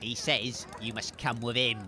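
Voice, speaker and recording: A man's voice: mid-range voice, little pitch movement, normal speed, pushed and bright. A man playing an officious, commanding raider henchman who declaims in a comic audio drama. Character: officious male raider henchman, heralding and threatening.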